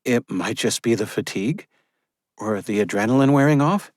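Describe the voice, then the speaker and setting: A man's voice: mid-range voice, normal speed, darkish tone, no room sound, clean studio sound, pitched above the performer's own voice, natural voice. A man voicing an urgent, commanding woman midwife. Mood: alarmed.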